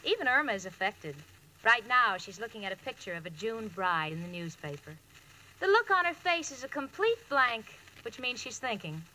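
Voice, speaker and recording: A woman's voice: on the high side, sing-song, moderate pace. An actress playing a wry, marriage-hungry young single woman in a 1940s radio comedy, with theatrical comic delivery on an old recording. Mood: wry, wistful. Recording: noisy vintage radio recording.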